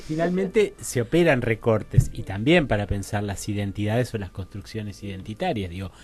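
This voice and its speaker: A man's voice: on the low side, moderate pace. A man with an Argentine accent talking as a guest in a radio interview, tentative and digressive, often checking he is understood. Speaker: guest clinician and academic.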